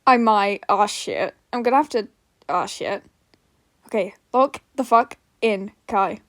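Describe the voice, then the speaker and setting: A woman's voice: mid-range voice, a little slow, dry recording, studio quality. A woman podfic narrator chatting casually to her listeners in an informal, giggly, self-deprecating way.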